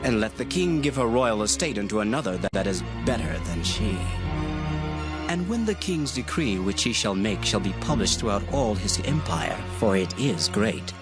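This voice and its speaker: A man playing a grave Persian prince advising the king, giving formal, measured counsel in a dramatized scripture reading. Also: on the low side, big pitch swings. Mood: solemn. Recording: music bed under the voice. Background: music.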